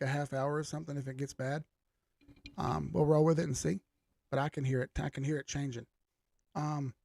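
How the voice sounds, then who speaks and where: A man hosting a casual livestream lesson on reading price charts, chatty and often checking in with "okay?", his voice going hoarse from a cold. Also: on the high side, darkish tone, no echo.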